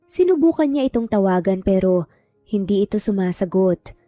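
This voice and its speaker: A woman's voice: mid-range voice, animated, normal speed, mellow, no echo, faint music behind. A woman narrating a scripted romantic drama story as a storyteller.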